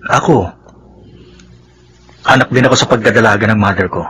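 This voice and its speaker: A man's voice: on the low side, moderate pace, fairly bright tone, slightly rough. A man playing a loyal, earnest friend who gives firm advice in a radio drama, with a Filipino accent. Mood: earnest, advising.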